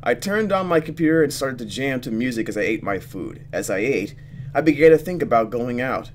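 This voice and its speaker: A man playing a visual novel, reading the text aloud and thinking out loud expressively as he goes. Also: mid-range voice, expressive, fairly quick, a little hiss or hum. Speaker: let's player reacting to a visual novel. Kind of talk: gaming commentary mixed with reading game text aloud.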